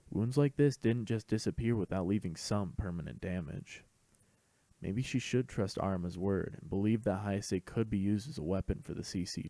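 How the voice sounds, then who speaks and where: Male narrator for scripted fan fiction. Dark, mellow tone with fry. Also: fairly low pitch, dry recording.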